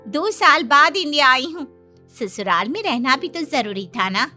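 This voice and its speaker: A woman playing an affectionate married daughter in a cartoon family story, gently explaining herself to her mother. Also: high voice, lively intonation, a little slow, faint music behind, heavily acted. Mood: affectionate, placating.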